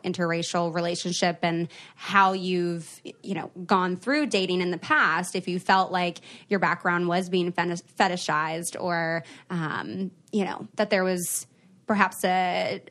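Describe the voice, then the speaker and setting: A young woman hosting a casual podcast interview, engaged and quick to laugh. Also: lowish voice. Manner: warm, engaged listener with frequent laughs. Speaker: podcast host.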